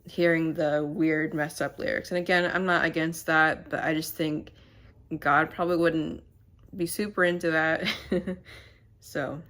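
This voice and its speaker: A woman with a stuffy, nasal voice, chatting casually to the camera at home in a rambling, halting way. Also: sits low, steady tone, fairly bright tone. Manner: rambling and halting, many fillers. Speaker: channel host. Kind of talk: casual to-camera vlog chat. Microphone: camera mic.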